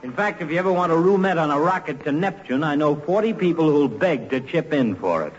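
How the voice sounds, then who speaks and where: An actor playing a pompous, gruff but affectionate old doctor with a florid, blustery way of talking in a radio comedy. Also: male voice, fairly high pitch, expressive, fairly quick, natural voice. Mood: indignant. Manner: elaborately insulting, blustery. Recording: muffled vintage radio recording.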